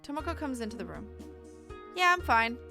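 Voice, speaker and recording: A woman game streamer with an expressive, irreverent manner, giving casual let's-play commentary and reading game text aloud. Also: sits high, brisk, creaky. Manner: expressive, irreverent, reacts bluntly. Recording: game music behind voice. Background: game music.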